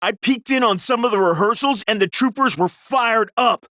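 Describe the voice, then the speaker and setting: An actor playing an excitable, gushing male fan of military parades in a game. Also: high-pitched, normal speed, no room sound, studio quality. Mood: excited. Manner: gushing, enthusiastic.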